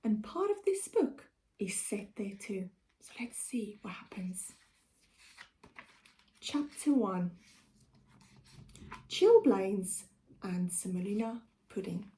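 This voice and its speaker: A South African woman reading a storybook aloud for children like a schoolteacher, with deliberate, expressive delivery. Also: medium pitch. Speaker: primary school teacher.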